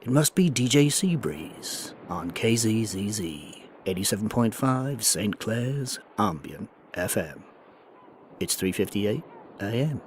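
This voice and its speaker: A man acting as a mellow late-night DJ on a fictional ambient radio station. He speaks low in an easygoing, soothing, folksy way, with faint ambient noise behind him. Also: very animated, slow pace. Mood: mellow, breezy. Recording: clear ambient noise under voice.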